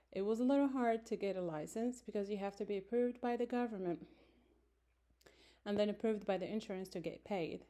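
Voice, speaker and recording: Mellow-voiced woman, casual self-introduction. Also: medium pitch. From Morocco, raised in France. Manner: unhurried, many fillers and self-corrections. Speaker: student introducing herself. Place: small room indoors. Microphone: phone held close.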